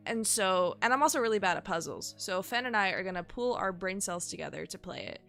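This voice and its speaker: Sick-sounding streamer woman, gravelly edge. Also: mid-range voice, lively intonation, fairly quick, a bit bright, soft background music. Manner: rambling, swears casually, self-deprecating. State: sick, nasal and sniffly. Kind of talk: casual live-stream chat.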